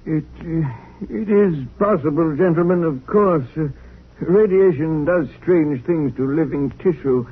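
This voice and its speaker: Husky, lonely old scientist, courteous and halting, vintage radio drama, tinny narrow sound. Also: male voice, a little high. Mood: wistful, halting. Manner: halting, courteous, repeats his words. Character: frail, kindly, lonely old scientist, almost eighty. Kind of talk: 1950s radio drama acting. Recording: tinny, band-limited old radio broadcast.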